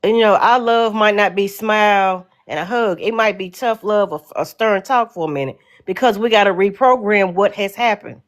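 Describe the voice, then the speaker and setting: A woman's voice: medium pitch, normal speed, hardly any pauses. A woman in her forties with a Southern American accent, earnestly rambling about her views as a guest on a call-in show. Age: 40s. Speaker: caller guest. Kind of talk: casual call-in chat.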